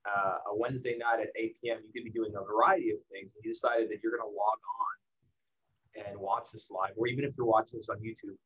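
An American man giving a casual motivational talk as a guest speaker, candid and conversational with emphatic pauses. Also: on the low side, fairly bright tone, slightly rough, dull audio. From El Paso, Texas, United States. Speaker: guest speaker, marketing professional. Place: indoors in the evening, online livestream.

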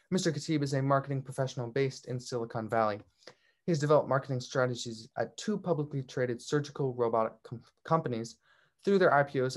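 A man hosting, reading out a formal introduction of a guest speaker. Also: medium pitch.

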